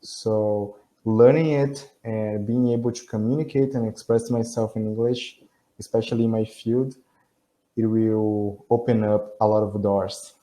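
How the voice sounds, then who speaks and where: Brazilian man with a dark voice. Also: lowish voice, steady tone, poor audio. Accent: Brazilian Portuguese. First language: Portuguese. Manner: careful, halting, with frequent restarts and soft laughs. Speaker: language student. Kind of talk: casual video call chat. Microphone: video call.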